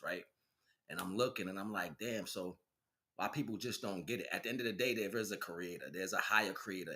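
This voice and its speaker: A man with a deep voice and a hard, bright edge hosts a casual livestream monologue. He talks in an emphatic, colloquial, streetwise manner, pressing his opinions firmly. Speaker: host of spiritual livestream.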